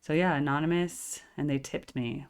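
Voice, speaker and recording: Woman in her forties hosting a reaction channel: a low, full, operatically trained voice and loose chatter studded with "like". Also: fairly even intonation, moderate pace. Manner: chatty, constant filler "like". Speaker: music reaction channel host. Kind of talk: casual reaction chat.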